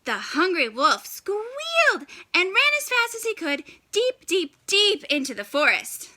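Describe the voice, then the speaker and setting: A woman reading a children's story aloud in an animated, expressive way with dramatic pauses. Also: very high voice, slight background noise.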